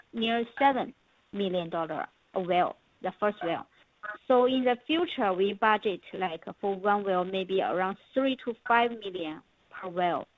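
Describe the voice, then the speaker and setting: A woman's voice: mid-range voice, a little hiss or hum. A woman with a Chinese accent, a native Mandarin speaker, answering questions and interpreting as a company representative on a conference call. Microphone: telephone. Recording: dull lo-fi conference phone call.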